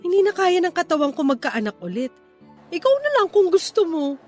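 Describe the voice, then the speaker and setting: A woman's voice: very high-pitched, very animated, brisk, a little mellow. A woman playing a practical, middle-aged mother in a radio drama, bantering and mock-scolding. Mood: teasing, exasperated. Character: teasing, practical mother of forty-one. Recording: music bed under the voice.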